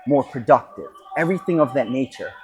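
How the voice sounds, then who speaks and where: A man speaking off the cuff as a podcast host and coach, in an emphatic, halting motivational monologue with pauses and repetition. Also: mid-range voice, expressive, darkish tone, soft background music.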